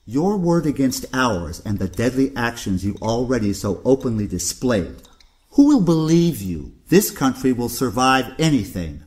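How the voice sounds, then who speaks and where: A man's voice: medium pitch, big pitch swings, unhurried, darkish tone. A man playing a cold, condescending older uncle, a ruthless government loyalist, in an audio drama. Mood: smug, cold. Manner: condescending, declamatory.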